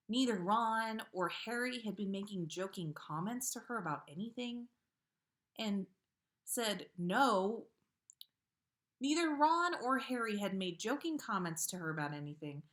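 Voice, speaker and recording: Expressive woman, informal storyteller. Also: medium pitch. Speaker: podcast host reading listener stories. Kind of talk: casual livestream chat, then storybook read-aloud.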